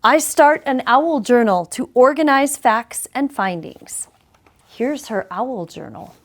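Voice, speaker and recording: Woman, children's story read-aloud, slightly high pitch, very unhurried, measured phrasing, dramatic pauses. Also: expressive. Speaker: story reader, school superintendent.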